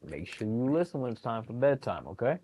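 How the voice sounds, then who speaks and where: Male children's storytime reader, lively swooping pitch, encouraging asides, close dry sound. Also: brisk, breathy edge, no room sound. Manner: animated, encouraging, explains pictures to young listeners. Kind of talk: expressive picture book read-aloud with chatty asides to young children.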